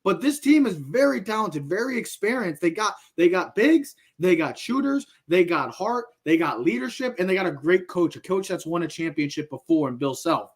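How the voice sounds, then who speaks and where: A man with a high-pitched voice gives casual sports commentary as a podcast co-host. He builds his arguments in short, repeated phrases. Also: animated, moderate pace, hardly any pauses, slightly rough.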